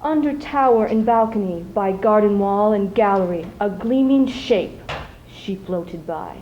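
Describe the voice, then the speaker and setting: Slow-paced young woman reciting poetry dramatically. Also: mid-range voice, animated, warm tone, highs cut off. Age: teens. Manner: theatrical, measured, with dramatic dynamics. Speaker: high school speech team student. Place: live school showcase before an audience. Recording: faint noise, live event ambience.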